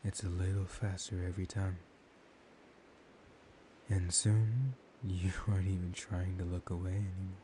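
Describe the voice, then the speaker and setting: A man acts out a seductive male vampire with a low, dark voice that stays level and controlled. He is slow, dominant and teasing, often sinking into a whisper as in an ASMR roleplay. A steady hiss sits behind the voice. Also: lightly acted. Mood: hypnotic, seductive, then possessive, coaxing. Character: dominant, seductive male vampire hypnotizing his captive lover. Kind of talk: soft-spoken ASMR roleplay.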